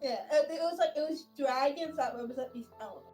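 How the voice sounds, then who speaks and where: A woman's voice: high voice, quick pace, a little mellow, soft background music. A woman giving casual, rambling commentary on a video game, often hesitant as she explains. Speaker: gameplay commentator.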